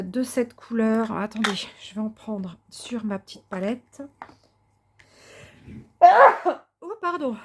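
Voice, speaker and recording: Woman, craft vlogger, casual chatter, fillers and restarts, mid pitch, mutters to herself while working. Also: creaky, noisy background. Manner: chatty, thinks aloud with many fillers and restarts; enthusiastic about colours.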